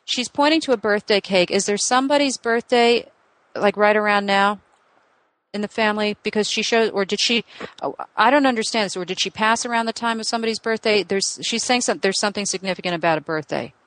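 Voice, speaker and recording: A chatty woman psychic giving a reading, her talk full of fillers and quick strings of questions. Her voice sits at a middle pitch. The sound is dry and close, with no room echo. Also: fairly bright tone. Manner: chatty, thinking aloud with many fillers; asks many quick questions in a row. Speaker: psychic medium. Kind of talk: live reading session with a client.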